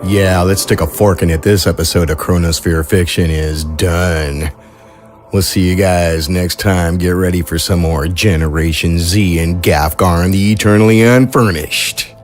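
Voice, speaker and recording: A man's voice: deep voice, fairly even intonation, unhurried, soft background music. A man hosting a comedy podcast, delivering dry, sardonic wisecracks in a riffing style. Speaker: podcast host. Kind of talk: wisecracking riff commentary and sign-off.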